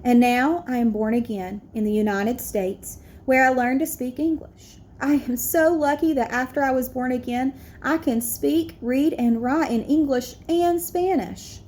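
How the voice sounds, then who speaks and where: A woman's voice: high-pitched, a little slow, hardly any pauses, darkish tone. A woman voicing a proud, earnest young immigrant schoolboy telling his own story in a picture-book read-aloud. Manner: earnest, declarative.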